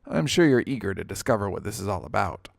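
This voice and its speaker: Polite estate lawyer for a storybook read by a man, formal and measured, gravelly, dry studio sound. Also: lowish voice, very animated, dry recording, heavily acted. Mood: apologetic. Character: courteous, formal male lawyer serving a wealthy estate. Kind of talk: audiobook character dialogue.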